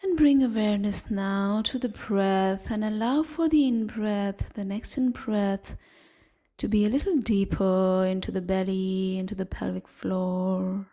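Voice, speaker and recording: Woman, meditation guide, very unhurried, soft dark tone, gentle, breathy half-whisper, long pauses. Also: medium pitch, steady tone. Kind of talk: guided evening body scan meditation.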